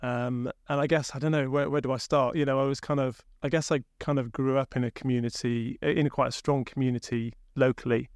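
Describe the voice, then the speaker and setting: Gravelly Sheffield man, flat and reflective. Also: mid-range voice, brisk, few pauses, no room sound, clean studio sound. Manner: relaxed and reflective, with many fillers. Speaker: guest, company chief executive. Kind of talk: conversational interview.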